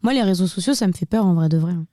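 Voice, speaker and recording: A woman's voice: lowish voice, racing, dark tone, no echo, clean studio sound. Candid young woman with a slight Burgundy accent. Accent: slight Burgundy French. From Burgundy, France. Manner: candid, talkative, many fillers and restarts. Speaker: singer, interview guest. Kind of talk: casual interview chat.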